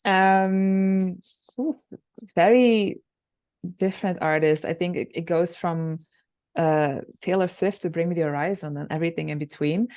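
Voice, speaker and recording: A young woman singer with a low alto voice, chatting casually over a video call about her music with many fillers. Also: unhurried, a little mellow. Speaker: singer and songwriter, interview guest. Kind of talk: casual interview answers.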